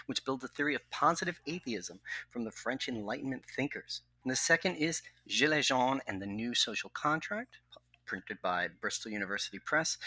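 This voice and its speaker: Bright, breathy podcast co-host man. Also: medium pitch, no echo, low-quality recording. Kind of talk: reading a formal guest introduction, then casual chat.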